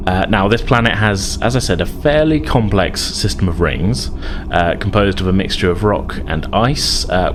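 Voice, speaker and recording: A man acting as game master, narrating and improvising a tabletop role-playing game in a halting way. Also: deep voice, noisy background. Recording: music behind the voice.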